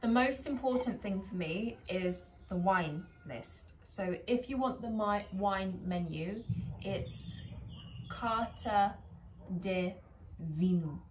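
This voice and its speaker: A woman's voice: mid-range voice, slow pace, slight background noise, rough recording. A British woman chatting casually in a vlog, laughing at herself as she tries out foreign phrases. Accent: British English. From England. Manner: chatty, self-deprecating, trying out foreign phrases. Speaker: vlogger. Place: home garden.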